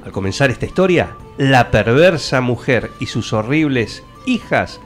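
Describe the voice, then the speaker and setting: Animated, mock-solemn Argentine male narrator. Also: mid-range voice, measured pace, soft background music. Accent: Argentine Rioplatense. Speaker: narrator of a radio play. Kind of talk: dramatic scripted storytelling.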